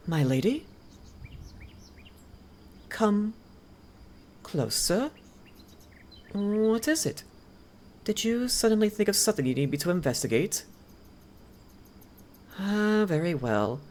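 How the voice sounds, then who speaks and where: A woman's voice: lowish voice, darkish tone, full character voice. A woman voicing a devoted male head butler in an ASMR roleplay, speaking politely and formally to a noble lady. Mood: puzzled, apologetic. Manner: polite, deferential, formal servant speech. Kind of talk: ASMR roleplay, scripted character acting.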